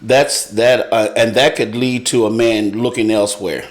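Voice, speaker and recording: A man's voice: lowish voice, little pitch movement, moderate pace. A man on a casual talk panel, agreeably echoing and backing up what the others say. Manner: agreeable, echoes and backs up others. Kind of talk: casual chat.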